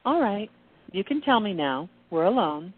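A woman playing a gentle, knowing, reassuring angel in an amateur radio play. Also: mid-range voice, big pitch swings, normal speed. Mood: calm, probing. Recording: dull muffled audio with clear background noise.

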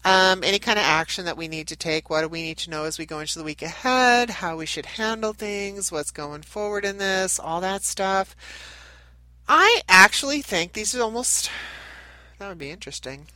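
A woman's voice: mid-range voice, lively intonation, normal speed, bright, forceful tone, dry recording, poor audio. A woman with a nasal voice, getting over a head cold, giving a rambling spiritual talk as a channel host and card reader. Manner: meandering, chatty, with many restarts. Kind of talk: casual spiritual talk to camera.

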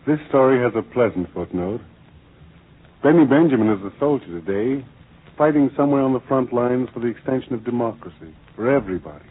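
A man's voice: mid-range voice, lively intonation, fairly quick, breathy edge. A man delivering scripted narration in the style of a 1940s radio program. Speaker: narrator of a wartime radio drama. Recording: muffled old 1940s radio broadcast.

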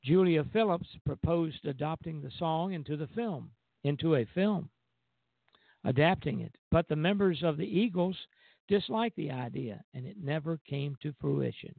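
Male radio host, creaky voice on narrow phone-grade audio, reading music history, occasional self-corrections. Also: on the high side, animated, normal speed, dry recording. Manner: stumbles over read words and corrects himself. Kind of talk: music history commentary read from notes. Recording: tinny phone-like band-limited audio.

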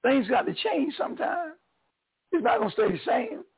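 An older man, a pastor with a Southern accent, preaching an encouraging sermon in a hoarse voice, swinging between loud and soft. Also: very high voice, very animated, moderate pace, narrow, tinny audio.

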